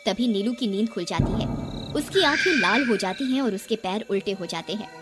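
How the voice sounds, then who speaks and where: A woman's voice: medium pitch, lively intonation, brisk, over loud music. A woman narrator reading a scripted horror story.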